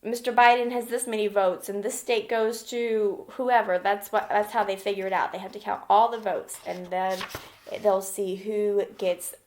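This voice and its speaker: A woman's voice: mid-range voice, fairly even intonation, moderate pace. A woman elementary school teacher reading aloud to young children and explaining things simply, often checking in as she gives instructions. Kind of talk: children's read-aloud lesson with questions.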